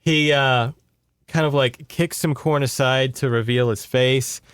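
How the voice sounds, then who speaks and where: Clear-voiced man casually retelling a story. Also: mid-range voice, steady tone, a little slow, a bit bright, no room sound, studio quality. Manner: conversational, colloquial, checks in with listeners. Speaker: podcast host retelling a book. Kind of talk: casual story recap chat.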